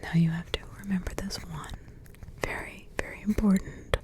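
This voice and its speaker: A woman voicing a protective witch grandmother in an ASMR roleplay, in a soft whisper with slow, rambling, repetitive asides. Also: fairly low pitch, fairly even intonation, lightly acted. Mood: hushed, caring. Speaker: ASMR creator.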